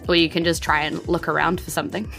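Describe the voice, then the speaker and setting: A woman's voice: deep voice, flat delivery, fast talker, creaky, music in the background. A woman with a New Zealand accent running a tabletop game, improvising narration in a loose, conversational way. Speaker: game master.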